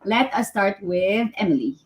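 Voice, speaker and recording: A woman's voice: mid-range voice, lively intonation, moderate pace, a little hiss or hum, poor audio. A woman hosting a live online panel, upbeat as she introduces her guests. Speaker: host.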